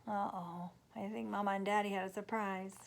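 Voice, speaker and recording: A woman's voice: medium pitch, steady tone, brisk, gravelly, a little hiss or hum. A woman reading a picture book aloud to children, stopping to chat and ask them questions. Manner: warm and chatty, asks children questions. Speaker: storytime reader.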